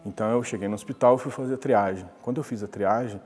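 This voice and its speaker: A man's voice: fairly low pitch, quick pace, darkish tone, husky, long reverb tail, faint music behind. A man, recounting a personal story in a conversational interview. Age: 40s. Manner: conversational storytelling, with many restarts. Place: glass-walled lobby, indoors.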